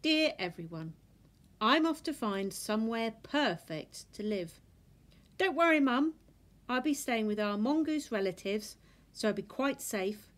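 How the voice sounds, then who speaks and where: A woman's voice: fairly high pitch, lively intonation, measured pace, a bit bright. A woman voicing an eager, earnest young male meerkat reading out his letters home in a storybook. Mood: excited.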